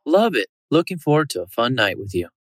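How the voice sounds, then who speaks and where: A woman's voice: very deep voice, big pitch swings, brisk, slight background noise. A woman reading a breezy, flirty man's texts. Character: friendly, easygoing man texting a date.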